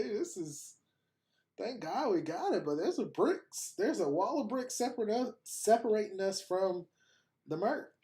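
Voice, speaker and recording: A man's voice: sits high, dark tone, husky, no room sound. A man in his thirties hosting a podcast, chatting casually and animatedly as he browses and reads aloud, laughing often. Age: 30s. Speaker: podcast host. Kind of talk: casual commentary while browsing and reading aloud.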